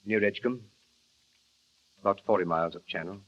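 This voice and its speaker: An actor playing a reserved, kindly estate manager who answers quietly and reluctantly in a vintage radio drama. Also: male voice, deep voice, fairly quick. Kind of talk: 1940s radio acting. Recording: dull vintage radio recording.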